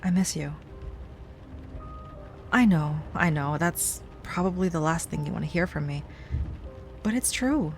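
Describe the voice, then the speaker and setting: A woman playing an obsessive, sweetly pleading ex-girlfriend in an intimate audio roleplay, speaking softly and haltingly. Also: deep voice. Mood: wistful, pleading. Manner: soft, halting, wistful, with sighs and nervous little laughs. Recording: music under the voice.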